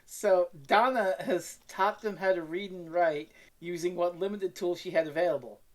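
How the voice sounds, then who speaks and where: A woman's voice: fairly low pitch, moderate pace, darkish tone. A woman co-hosting a casual recap, speaking in a blunt, sweary, informal way. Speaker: podcast co-host recapping the story. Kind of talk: casual chat.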